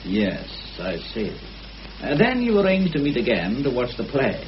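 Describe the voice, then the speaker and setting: An actor playing a genial, probing middle-aged village police inspector in a British radio drama, hesitant and polite. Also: male voice, medium pitch, wildly swooping pitch, moderate pace. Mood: probing, mild. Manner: hesitant, polite, mild questioning with small hums. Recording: dull, hissy old radio drama recording.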